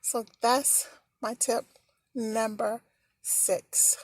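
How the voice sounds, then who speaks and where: A woman vlogging motivational tips in an encouraging, slow and measured way, filmed on a phone while out on errands. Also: on the high side, little pitch movement, no room sound, low-quality recording. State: slightly hoarse. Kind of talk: encouraging casual vlog talk.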